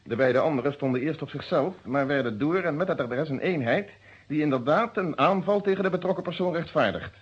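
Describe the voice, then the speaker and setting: A man's voice: fairly high pitch, expressive, brisk, husky. A man playing a methodical, unyielding police inspector in a Dutch detective radio play, speaking in formal, precise phrases. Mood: measured, explanatory. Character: methodical, unyielding police inspector questioning his own friend. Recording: dull lo-fi old radio recording.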